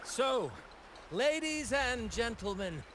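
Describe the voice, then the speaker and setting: A man's voice: very high voice, big pitch swings, slow, noisy background, heavily acted. A man playing a flamboyant young pirate captain and showman in a video game, with a theatrical, declamatory delivery. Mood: grandiose. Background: game background music.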